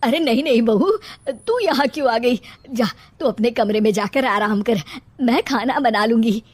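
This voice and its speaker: Woman acting a high-pitched, fussy mother-in-law. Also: normal speed, husky, no echo. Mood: fawning. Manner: fussy, over-solicitous. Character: excitable middle-aged mother-in-law, fearful and fawning.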